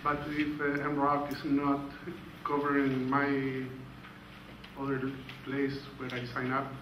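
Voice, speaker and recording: A man asking a halting question as an audience member. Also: mid-range voice, completely flat delivery, muffled recording. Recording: echoey room with clear background noise.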